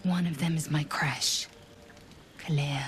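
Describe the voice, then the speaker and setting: A woman's voice: low voice, steady tone, slow, slightly rough, soft background music, exaggerated voice. An actress playing a haughty, stern alien warrior woman in a fantasy game, with clipped, imperious, formal delivery. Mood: haughty, brooding.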